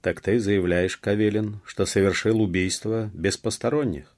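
A man voicing a stern, commanding rural police chief in an audiobook, loud, deliberate and official. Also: on the low side, fairly even intonation, no echo, studio quality, lightly acted. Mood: interrogating.

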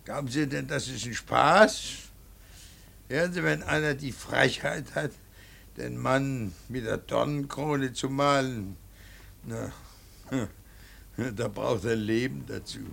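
An actor playing a stern, devout older painter and father in a radio play, halting and brooding, then preaching with emphasis. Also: medium pitch, a bit bright, exaggerated voice. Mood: fervent brooding. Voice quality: gravelly, husky. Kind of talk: German radio play acting.

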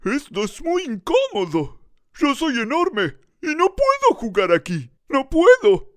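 A man's voice: extremely high pitch, huge pitch swings, a little slow, heavily acted. A man playing a big young hippo in a children's audio story, exclaiming and wondering aloud. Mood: frustrated. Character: big young hippo, restless, doubtful then thrilled.

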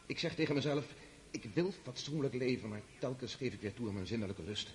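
An actor in a Dutch radio drama playing a brooding, earnest young Russian count who reproaches himself. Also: male voice, medium pitch, animated, a little fast, natural voice. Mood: anguished. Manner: earnest, self-accusing. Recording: hissy old radio play recording.

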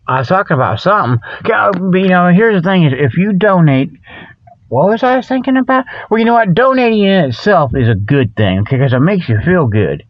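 High-pitched man rambling through comic radio patter. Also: no echo. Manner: scatterbrained, loses his thread, chuckles at his own jokes; folksy, colloquial, checks in with "okay". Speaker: host of a comedy paranormal radio show. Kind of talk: rambling comic monologue with mock sales pitches. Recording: dull lo-fi radio sound.

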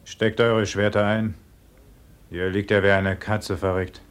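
An actor playing a fierce, hard robber in a classical radio play, declaiming in theatrical style. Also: male voice, lowish voice, flat delivery, unhurried, smooth, natural voice. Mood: commanding.

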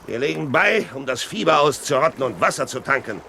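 An actor in a German radio play playing a domineering, fevered old pirate captain with blustering, drawn-out calls and oaths. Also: male voice, medium pitch, animated, creaky, bright, forceful tone, slight background noise. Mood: gruff, commanding.

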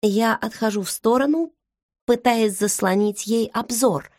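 Slow, dry-voiced woman narrating an audiobook. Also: on the high side, darkish tone, no room sound. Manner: measured, intimate inner monologue. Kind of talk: first-person novel narration. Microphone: close studio mic. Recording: dry studio recording.

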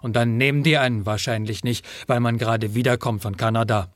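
An actor playing a rootless, humble and anxious peddler in a German radio play, with a halting, rambling way of talking. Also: male voice, mid-range voice, steady tone, dry recording, close to an everyday voice. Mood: plaintive, rambling. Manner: halting, rambling, says "one" instead of "I".

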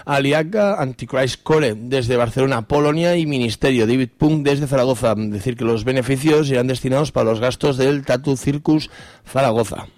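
A man's voice: medium pitch, darkish tone, no room sound. A man with a Spanish accent from Spain, hosting an informal radio show and reading announcements. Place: radio studio. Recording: faint music underneath.

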